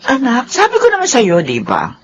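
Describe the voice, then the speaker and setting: An actress with a Filipino accent playing a practical middle-aged mother in a radio drama, expressive, teasing and gently scolding. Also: on the high side, moderate pace, husky, muffled recording, exaggerated voice. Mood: chiding.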